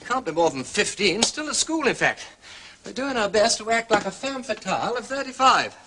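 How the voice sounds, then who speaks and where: High, animated aristocratic gentleman. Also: normal speed, noisy background. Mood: breezy, amused. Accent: upper-class English. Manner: effusive, playful, urbane. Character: breezy, charming aristocratic English gentleman detective.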